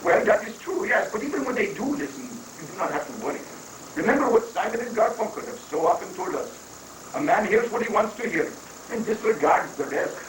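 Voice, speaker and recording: A man's voice: high-pitched, sing-song, fairly quick. A man playing a glib, unruffled guru who hands out mock-wise reassurance in an amateur reading of a stage satire. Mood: reassuring. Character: guru counselling troubled government officials, unruffled and glib. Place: room at a live library reading. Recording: distant, echoey room recording with faint noise.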